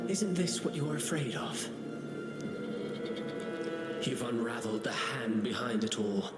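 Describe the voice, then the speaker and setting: An actor playing a menacing, theatrical antagonist in a video game, speaking in formal, ominous, measured phrases. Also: male voice, medium pitch, echoey room, lightly acted. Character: menacing, theatrical male antagonist taunting a poet. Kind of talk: dramatic video game voice acting. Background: clear background music.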